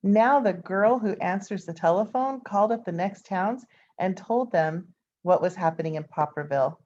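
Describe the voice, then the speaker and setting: A woman reading a children's picture book aloud as an expressive storyteller, adding informal asides for her listeners. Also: medium pitch, moderate pace, a little hiss or hum. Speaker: storybook reader. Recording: dull, lo-fi sound.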